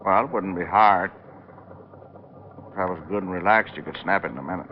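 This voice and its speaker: An actor plays a private eye on a muffled old radio recording with noticeable hiss. He is American, wry and watchful, with a low voice. He probes casually and hesitantly in classic radio crime drama style. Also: brisk, lightly acted. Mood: wary needling. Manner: hesitant, probing small talk. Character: wry, probing male private detective, casual but watchful. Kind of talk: 1940s-50s American radio drama acting.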